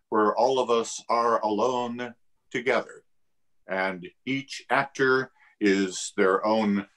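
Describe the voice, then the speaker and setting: A man, an actor on a discussion panel, explaining things in an articulate, measured and reflective way. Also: medium pitch, a bit bright.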